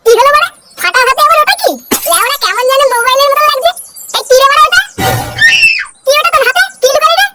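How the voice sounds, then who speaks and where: A man voicing a bullying, hot-tempered father in a comic cartoon, ranting crudely in a squeaky, artificially raised pitch. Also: animated, fast, long pauses, over loud music. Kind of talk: exaggerated comic cartoon dialogue.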